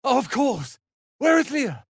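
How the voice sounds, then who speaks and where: A man's voice: very high voice, big pitch swings, measured pace, creaky, husky, no room sound, studio quality, full character voice. A man voicing a haughty, sardonic young wizard's apprentice in a video game, with a pompous, theatrical delivery. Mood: anguished anger. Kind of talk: dramatic video game voice acting.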